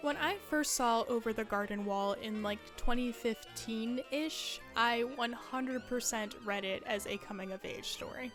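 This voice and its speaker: A woman's voice: fairly high pitch, a little slow, hardly any pauses, over music. A woman in her twenties narrating a video essay in a casual, conversational way with wry asides.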